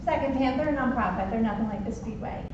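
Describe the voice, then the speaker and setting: A woman's voice: a little high, fast talker, background noise. A woman chairing a town board meeting, firm and procedural. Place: town office meeting room. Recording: echoey hall, distant mic, dull.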